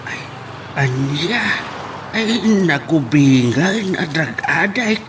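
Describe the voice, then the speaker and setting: A man's voice: on the high side, sing-song, takes it slowly, noisy background. A man playing an elderly, tender father who worries and sighs in an Ilocano radio drama. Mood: concerned.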